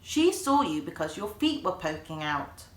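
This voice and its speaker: A woman's voice: on the low side, big pitch swings, normal speed. A woman voicing a clever, earnest young boy in a children's story, a little brother with a calculating manner. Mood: chiming in. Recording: echoey room.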